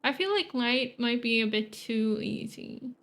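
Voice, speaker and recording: A woman's voice: on the high side, lively intonation, measured pace, fairly bright tone. A young woman from Sweden streaming a game and chatting casually, rambling and correcting herself as she thinks aloud. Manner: rambling, hesitant, self-correcting, giggly. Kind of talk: casual gameplay chat.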